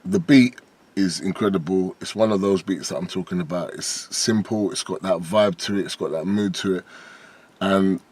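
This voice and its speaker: Deep-voiced British rapper with a lisp. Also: male voice, moderate pace. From United Kingdom. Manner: relaxed, rambling, many fillers and restarts. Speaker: rapper, interview guest. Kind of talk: casual interview chat.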